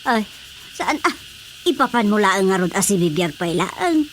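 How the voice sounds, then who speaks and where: A woman's voice: mid-range voice, sing-song, moderate pace, slight background noise, lightly acted. A woman playing a flustered, grumbling mother in a comedy radio drama, complaining and exclaiming.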